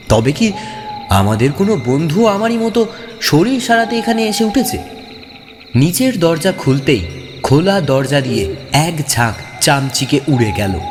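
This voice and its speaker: A man giving a dramatic, suspenseful first-person narration of a horror story. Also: a little high. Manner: suspenseful, expressive. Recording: long hall reverb, clear music bed. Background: music.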